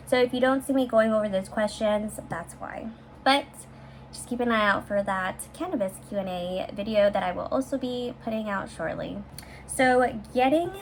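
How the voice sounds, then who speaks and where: A woman in her twenties from San Francisco, chatting upbeat and casually as she answers viewer questions in her own vlog, with some fillers and restarts. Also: mid-range voice. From San Francisco, USA. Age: 20s. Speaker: vlogger. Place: her bedroom, heater running. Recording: faint heater hum in room.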